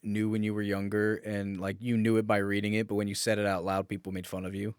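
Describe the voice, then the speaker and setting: A man co-hosting a podcast in casual, teasing banter with a friend, speaking in an animated and sometimes profane way. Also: fairly low pitch, fast talker, clear, resonant tone, no echo, clean studio sound. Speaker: podcast co-host.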